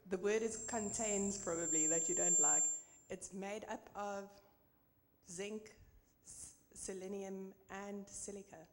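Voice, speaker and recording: A woman from the audience with a Puerto Rican accent asks her question at a very slow, careful pace. She is heard from a distance, with long hall reverb. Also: mid-range voice, steady tone, darkish tone. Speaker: audience member asking a question.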